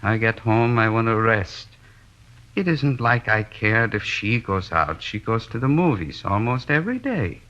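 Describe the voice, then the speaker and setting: Low-voiced, henpecked middle-aged American husband. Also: male voice, sing-song, measured pace, a bit bright. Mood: plaintive, defensive. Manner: rambling, hesitant, plaintive. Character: henpecked middle-aged plasterer, weary, plaintive, indecisive husband. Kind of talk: 1950s radio drama acting. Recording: dull, band-limited old radio recording.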